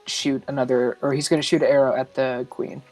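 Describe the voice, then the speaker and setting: A man playing a tabletop game, casually and hesitantly deciding his moves out loud. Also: mid-range voice, little pitch movement, a little fast, faint music behind. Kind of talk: casual chat.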